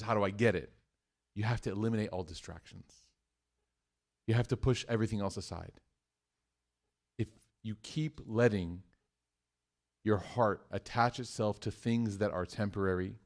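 A man giving a live sermon to a room of listeners, chatty and joking, moving between loud emphatic bursts and hushed points. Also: on the low side. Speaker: mosque speaker. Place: room with an audience.

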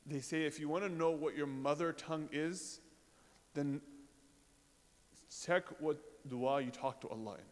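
Man preaching in a reverberant hall. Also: on the high side. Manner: conversational, pausing with fillers, rhetorical questions. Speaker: religious speaker. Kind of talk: informal sermon to a congregation.

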